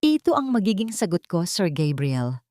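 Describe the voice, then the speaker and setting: A woman's voice: fairly low pitch, sing-song, normal speed, darkish tone, dry recording, clean studio sound. Earnest Filipino heroine, read by a woman narrator. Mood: resolute.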